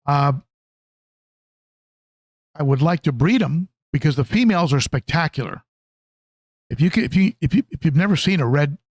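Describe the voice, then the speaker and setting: A man hosts a relaxed, informal livestream in a slightly high voice. He speaks conversationally and a bit haltingly, doubling back on his phrases as he responds to viewers. The sound is very dry, with no room echo. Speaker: livestream host, aquarium hobbyist. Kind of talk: casual livestream chat, answering viewer comments.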